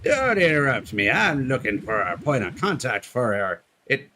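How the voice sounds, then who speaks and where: A man voicing a gruff, impatient lookout in a video game, snappish and grumbling. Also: medium pitch, sing-song, normal speed, pushed and bright. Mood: irritated.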